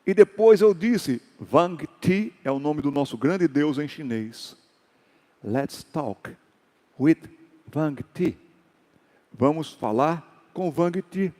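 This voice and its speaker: A male pastor telling stories in a sermon, acting out dialogue and rising into loud exhortations. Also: a little high, animated, takes it slowly, big-room sound. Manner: storytelling with acted dialogue and sudden loud exhortations. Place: church hall.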